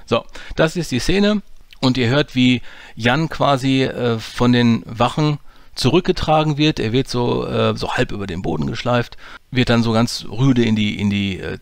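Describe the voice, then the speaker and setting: A man walking through his dialogue editing in a relaxed, explanatory way, with many fillers. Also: mid-range voice, normal speed, creaky, no room sound. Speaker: audio drama producer teaching editing. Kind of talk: screen-recorded software tutorial.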